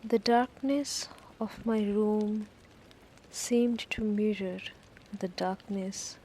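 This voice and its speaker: A woman narrating a guided sleep meditation story in short, deliberate phrases with long pauses between them. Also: medium pitch, warm tone, a little hiss or hum. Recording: rain ambience behind the voice. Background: falling rain.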